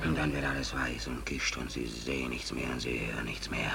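A man acting a grim, fatalistic sailor in a 1940s German radio play, brooding, moving between muttering and loud intensity. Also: very deep voice, steady tone, brisk, gravelly, noisy background. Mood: haunted, intense. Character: grim, fatalistic male sailor from a doomed freighter. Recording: 1940s radio recording with music.

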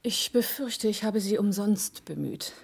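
An elegant young model in a radio crime drama. She has a medium-pitched voice and speaks haltingly, with strained nerves. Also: expressive, gravelly, breathy edge, full character voice. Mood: anxious, confiding. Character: nervous, elegant young female model under suspicion.

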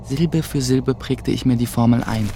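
A man playing an earnest young sorcerer's apprentice who narrates his own story in a measured way for a radio play. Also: mid-range voice, heavy background noise, lightly acted. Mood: narrating, measured. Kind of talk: scripted first-person narration in German radio play. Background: music.

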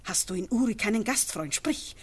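A resolute farmer's wife in an old radio drama. She speaks verse earnestly, urging and persuading with calm conviction. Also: medium pitch, normal speed, breathy edge. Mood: persuasive.

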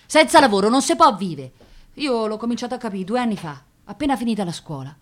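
A woman's voice: a little high, very animated, fast. An actress playing a proud, touchy young working-class woman with a Roman accent, talking colloquially and emotionally in a radio play. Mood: indignant, agitated. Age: young adult. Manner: colloquial, repeating words, emotional. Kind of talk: theatrical radio drama monologue.

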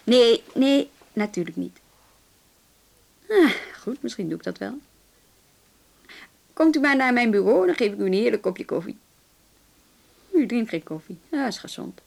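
Creaky-voiced, brisk police inspector woman. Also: on the high side, lively intonation, darkish tone, heavily acted. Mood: wry, chatty. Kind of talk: radio drama acting.

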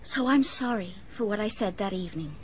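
A woman's voice: mid-range voice, lively intonation, brisk, slight background noise, natural voice. An actress playing a self-assured, rich young American woman in a radio drama, direct and confident, with an American accent. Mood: frank, curious.

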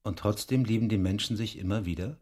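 An actor playing a rural police detective in a German radio drama, by turns dryly flirtatious and businesslike. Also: male voice, fairly low pitch, quick pace, a little mellow, lightly acted. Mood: gently teasing. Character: flirtatious rural police detective, brusque boss, narrator of the tale.

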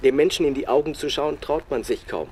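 An actor playing a sober reporter who narrates a radio feature in terse, deliberate sentences. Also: male voice, fairly high pitch, background noise, close to an everyday voice. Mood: sober, bleak. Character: sober male reporter recounting a bleak field search.

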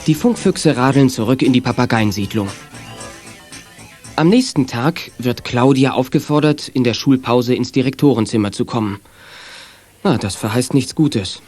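A man narrating a German children's detective radio play in a measured, articulate storytelling manner. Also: mid-range voice, animated, a little mellow, lightly acted. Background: faint music.

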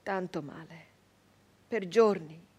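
An actress playing a haunted, defiant young peasant woman turned brigand in a radio monologue, with halting, repetitive, intense delivery. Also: lowish voice, mellow, breathy edge, muffled recording, exaggerated voice. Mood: wounded, subdued.

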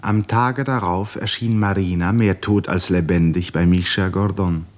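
A man narrating a 1950s German radio drama as a sober storyteller, in a measured, formal literary style. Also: low voice, fairly even intonation, a little mellow, lightly acted. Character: sober male storyteller of a tragic novel.

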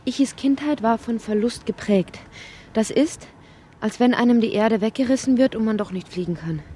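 An actress narrating a strange, inward literary radio drama in deliberate, short emphatic phrases. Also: female voice, a little high, mellow, slight background noise, close to an everyday voice. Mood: reflective. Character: woman narrator of a strange inward dreamlike tale.

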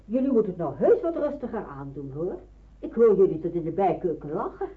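A woman's voice: mid-range voice, big pitch swings, a little fast, narrow, tinny audio, close to an everyday voice. An actress playing a motherly aunt who chides kindly in a radio drama. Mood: chiding. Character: motherly, scolding aunt running the household. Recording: thin old recording with crowd chatter.

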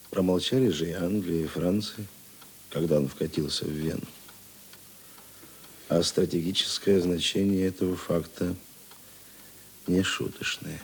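An actor playing a seasoned police investigator in a radio drama, gruff but talkative, with a husky voice and halting, conversational delivery. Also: male voice, low-pitched, darkish tone, full character voice. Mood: grave, musing. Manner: musing, halting, conversational asides. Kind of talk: Russian radio drama dialogue.

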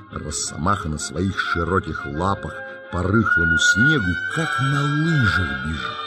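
Low-voiced guy narrating a kids story, very animated and folksy, music behind him. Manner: folksy, dramatic, savouring words. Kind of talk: expressive children's storytelling narration.

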